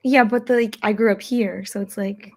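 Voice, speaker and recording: A woman's voice: mid-range voice, steady tone, moderate pace. A woman from Long Island with a slight Long Island accent, chatting casually as a guest and sounding a little self-conscious. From Long Island, New York. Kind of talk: casual chat.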